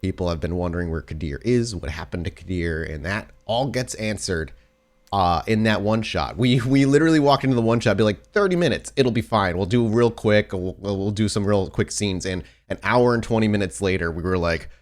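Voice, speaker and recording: A man's voice: on the low side, animated, fairly quick. A man hosting and narrating a tabletop role-playing podcast as its storyteller. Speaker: host and game storyteller. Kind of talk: casual show chat and game narration.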